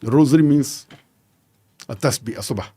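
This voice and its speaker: A man in his sixties telling anecdotes as a podcast guest, measured and sprinkled with "you know". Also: mid-range voice, mellow, breathy edge, no room sound. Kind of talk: conversational storytelling interview.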